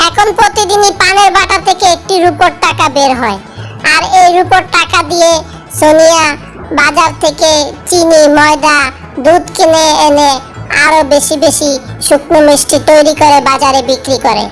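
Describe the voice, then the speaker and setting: A woman's voice: high-pitched, steady tone, moderate pace, lightly acted. A woman reading scripted storybook narration for a cartoon tale, with music behind her.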